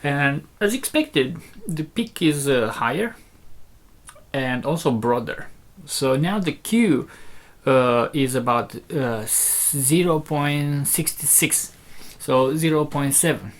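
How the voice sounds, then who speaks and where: A presenter walks through a technical demonstration without a script. The voice sits at a medium pitch and moves very slowly. The delivery is hesitant, broken into short fragments as each step is explained. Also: expressive. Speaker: presenter demonstrating audio equipment.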